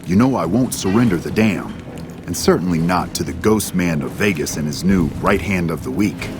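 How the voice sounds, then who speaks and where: Gruff, low-voiced defiant general. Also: male voice, animated, normal speed, over music. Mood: angry, defiant. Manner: blunt, combative, profane. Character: gruff, defiant, foul-mouthed military general. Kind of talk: video game dialogue.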